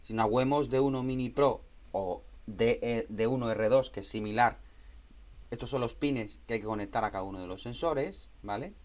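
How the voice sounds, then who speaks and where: A man's voice: medium pitch, moderate pace, a little mellow. A man giving an informal hands-on technical tutorial, explaining step by step and often repeating and rephrasing as he goes. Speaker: tutorial presenter.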